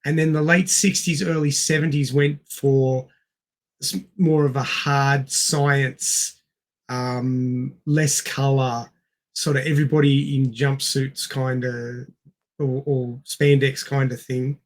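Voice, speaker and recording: A man's voice: mid-range voice, fairly even intonation, takes it slowly. A middle-aged man co-hosting a podcast, casually discussing film in an explanatory way and repeating words for emphasis. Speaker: podcast co-host.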